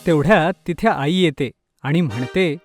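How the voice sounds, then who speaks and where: A man with a slightly high voice reads scripted narration for a children's story. Faint music plays underneath his telling. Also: normal speed.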